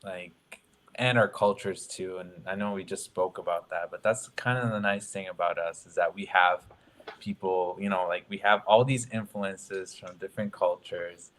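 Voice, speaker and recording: A man's voice: deep voice, steady tone, moderate pace, a bit bright, slight background noise. A young man from Ottawa, a drummer guest, talking in a thoughtful, rambling way. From Ottawa, Canada. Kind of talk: casual interview chat.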